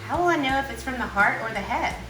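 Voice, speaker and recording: A woman's voice: high-pitched, lively intonation, fast talker. A woman playing a wry, doubtful, lofty lady in a stagy poetry performance, speaking with formal wit. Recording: echoey room, music behind.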